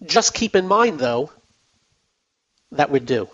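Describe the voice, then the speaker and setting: A man hosts a finance call-in radio show, his voice going hoarse as he talks. He chats in a loose, repetitive way with plenty of fillers, and sits fairly high in pitch. The broadcast sounds dull and lo-fi. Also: sing-song, takes it slowly, a bit bright. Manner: chatty, repeats himself, many fillers. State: losing his voice. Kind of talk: casual radio market talk.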